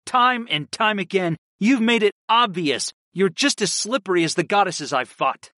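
A narrator voicing a stern, secretive aunt who speaks sharply and impatiently. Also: female voice, medium pitch, lively intonation, measured pace, fairly bright tone, dry recording, studio quality, voice pushed up. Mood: insistent.

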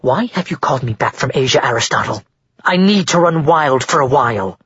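A woman's voice: a bass voice, expressive, a little fast, breathy edge, no echo, highs cut off, heavily acted. A man voicing a cruel, haughty woman who talks with sarcastic contempt, in an audiobook reading. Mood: cold contempt. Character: cruel haughty tiger-shifter mother, shrill and sneering.

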